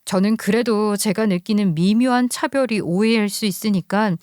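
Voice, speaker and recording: A woman's voice: medium pitch, normal speed, darkish tone, no echo, clean studio sound. A woman narrating a scripted first-person life story.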